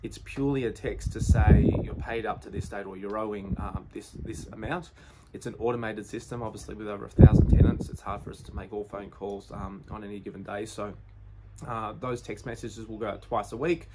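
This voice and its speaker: A man's voice: lowish voice, fairly even intonation, fairly quick, very noisy. A man in his forties with an Australian accent, giving a plain, reassuring update to camera from outside his house, talking loosely with fillers and restarts. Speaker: real estate agency owner. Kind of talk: direct-to-camera business update. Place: outdoors beside a brick house. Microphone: phone or camera at close range.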